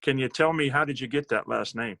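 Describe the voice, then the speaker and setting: A man's voice: mid-range voice, brisk, gravelly, a bit bright. A man hosting a podcast who asks friendly questions and stumbles over fillers and repeated words. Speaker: podcast host. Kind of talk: casual interview.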